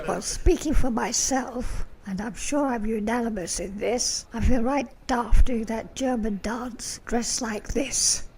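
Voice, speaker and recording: A woman's voice: fairly high pitch, noisy background. A woman playing a haughty, easily scandalised middle-aged saleswoman, pompous and indignant, in an amateur radio comedy. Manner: pompous, indignant, drawn-out delivery.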